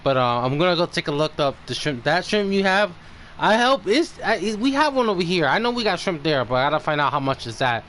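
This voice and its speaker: Guy streaming a game, kinda high lively voice, rambles and stalls, little bit of background noise. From New York. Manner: halting, rambling. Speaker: game livestreamer. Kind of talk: casual stream chat, reading game dialogue aloud.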